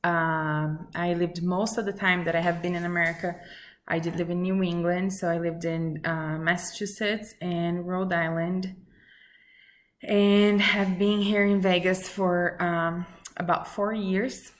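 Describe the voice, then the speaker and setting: A woman, introducing herself to a class in an earnest, measured way with many pauses and fillers. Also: fairly low pitch, flat delivery, a little hiss or hum. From Brazil. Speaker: student. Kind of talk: recorded self-introduction for a class.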